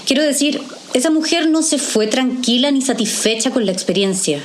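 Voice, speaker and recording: A woman's voice: high-pitched, expressive, slight background noise. A woman playing a guarded, direct, caring professional in an audio drama. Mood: firm, sceptical. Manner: direct, measured.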